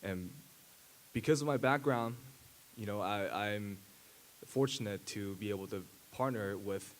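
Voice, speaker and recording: A man in his thirties, a native Japanese speaker, giving a conference talk at a podium with a handheld mic, pausing often with fillers and restarts. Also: on the low side, slight background noise. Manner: halting, many fillers and restarts. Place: large dark auditorium stage.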